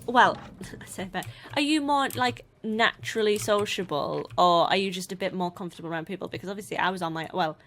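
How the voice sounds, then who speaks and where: A woman's voice: medium pitch, brisk, fairly bright tone, slight background noise. A young woman from the north of England, chatting casually on a livestream, reading viewer messages aloud and laughing often. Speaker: co-host reading chat.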